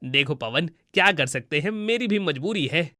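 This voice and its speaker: A man plays a greedy village moneylender in a cartoon tale. He talks in a fairly high, dry-sounding voice, smug and unyielding, with menace in his manner. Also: animated, normal speed, bright, forceful tone, no echo. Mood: threatening. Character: grasping, threatening male village moneylender.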